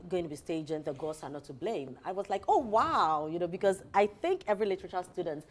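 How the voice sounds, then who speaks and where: A Ghanaian woman hosting a talk show, chatting in an animated, emphatic, conversational way. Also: fairly low pitch, quick pace, slight background noise. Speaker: morning show host. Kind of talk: live talk show interview, chatty introduction.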